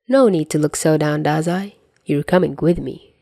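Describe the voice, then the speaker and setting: A woman voicing a calm, amused, matter-of-fact man in a story reading. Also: low-pitched, moderate pace, vocal fry, no echo, studio quality. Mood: calmly amused. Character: calm, amused, unhurried man, older mafioso.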